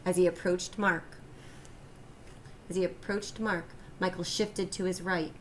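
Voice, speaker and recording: A woman reading a children's story aloud like a teacher, expressive and deliberate, stressing the key phrases. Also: on the low side, a little hiss or hum, low-quality recording. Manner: expressive, deliberate, emphasizes and repeats key lines. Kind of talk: children's read-aloud narration.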